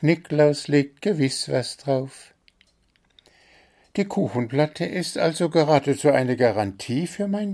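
A man voicing an excitable little bird who squeaks eagerly and schemes for presents. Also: creaky, full character voice.

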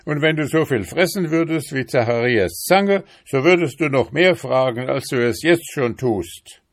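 A man's voice: low voice, expressive, slow, darkish tone, heavily acted. Storybook beetle husband, weary and curt, read by a man. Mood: exasperated. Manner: tired, curt answers. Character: weary, put-upon male beetle husband.

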